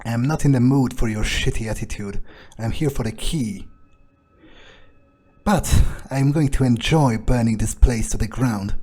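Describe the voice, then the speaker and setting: Dude roleplaying his vampire guy, slow n menacing, kinda dark mellow voice. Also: mid-range voice, breathy edge. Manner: menacing, gloating, slow and deliberate. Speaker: roleplaying let's play host. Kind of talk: in-character roleplay commentary.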